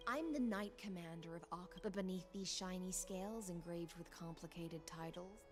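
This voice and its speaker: Woman acting a low-voiced courtly knight commander. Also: moderate pace, natural voice. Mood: courteous. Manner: ceremonious, formal. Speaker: video game voice actor. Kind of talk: scripted fantasy game dialogue. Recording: music under the voice.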